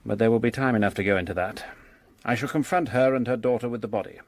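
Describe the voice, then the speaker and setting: A man's voice: medium pitch, fast talker, dry recording, close to an everyday voice. Radio drama: male actor as commanding French police investigator, mid-range voice, curt, superior, sure of himself. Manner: curt, authoritative. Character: brisk, self-assured French police detective, commanding and condescending.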